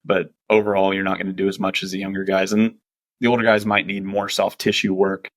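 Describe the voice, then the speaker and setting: A man's voice: deep voice, flat delivery, a little fast, dry recording. A man, a strength and conditioning coach, speaking casually as a podcast guest, laughing often and talking loosely. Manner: laid-back, laughs often, loose filler-heavy talk.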